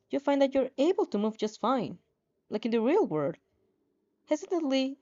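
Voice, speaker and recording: A woman reading a story aloud in an expressive, dramatic way, with playful casual asides and the odd stumble over a line. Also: mid-range voice. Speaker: fan fiction reader reacting as she reads. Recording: dull, slightly muffled lo-fi audio.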